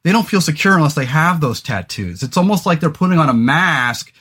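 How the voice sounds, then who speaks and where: A man's voice: a little high, lively intonation, brisk, pushed and bright, breathy edge. A man in his forties hosting a podcast, talking casually and rambling with lots of fillers, restarts and casual swearing. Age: 40s. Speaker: podcast host.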